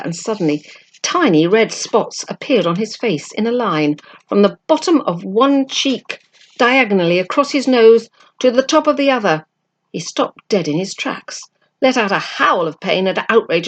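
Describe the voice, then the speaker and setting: A woman reading a children's storybook aloud as narration, with an expressive, dramatic delivery. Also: mid-range voice. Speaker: amateur book reader.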